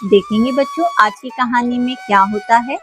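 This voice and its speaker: A woman's voice: a little high, lively intonation, normal speed, over music. A woman narrating a scripted moral story for children as a storyteller.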